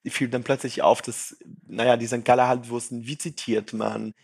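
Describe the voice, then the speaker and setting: A man's voice: medium pitch, little pitch movement, moderate pace, vocal fry, a little mellow, slightly rough. A man in his thirties, raised in Switzerland, with a light French-tinged accent, chatting casually as an interview guest and using lots of fillers. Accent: light French-tinged Swiss. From Brazil, raised in Switzerland. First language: Portuguese and French. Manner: chatty, self-deprecating, many fillers. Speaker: startup founder, guest.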